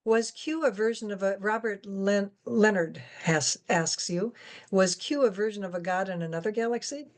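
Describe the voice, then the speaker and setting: A woman's voice: medium pitch, moderate pace. A woman moderating an interview, informal and a little hesitant as she handles the questions. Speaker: guest moderator reading audience questions.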